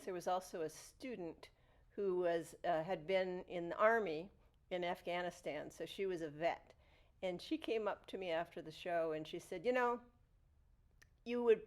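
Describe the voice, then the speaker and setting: A woman talking about her play in an interview, telling stories in a reflective way. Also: fairly low pitch. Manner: reflective storytelling. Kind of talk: interview talk.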